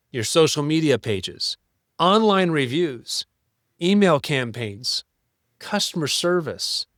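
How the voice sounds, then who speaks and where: Male explainer presenter, animated intonation, instructive and emphatic, very dry close sound. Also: on the high side, dry recording. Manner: instructive, rhetorical questions, emphatic lists. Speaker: presenter of marketing tips for business owners. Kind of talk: explainer talk to business owners.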